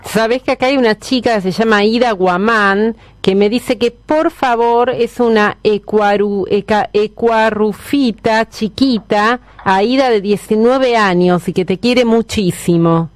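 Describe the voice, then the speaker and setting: A woman's voice: on the high side, unhurried, no echo. A woman with an Argentine accent hosting a casual radio interview. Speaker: radio host. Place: radio studio.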